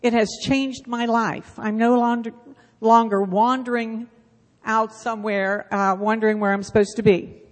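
A woman's voice: a little high, steady tone, a little slow. A middle-aged woman leading a church Bible school, speaking casually into a microphone and telling self-deprecating stories. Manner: chatty, self-deprecating storytelling. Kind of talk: casual church announcement and talk. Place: church sanctuary. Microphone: handheld microphone. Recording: long reverb of a church hall.

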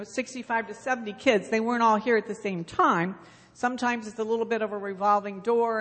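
A woman's voice: medium pitch, fairly bright tone. Chatty middle-aged woman in a reverberant church. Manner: chatty, self-deprecating storytelling. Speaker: church Bible school leader. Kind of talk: casual church announcement and talk. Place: church sanctuary. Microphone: handheld microphone. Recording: long reverb of a church hall.

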